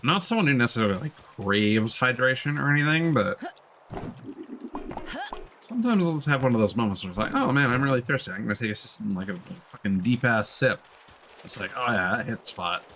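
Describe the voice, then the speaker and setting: A man giving casual live gameplay commentary, thinking out loud as he plays. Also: mid-range voice, animated, fairly quick, a little hiss or hum. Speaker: game streamer. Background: game music.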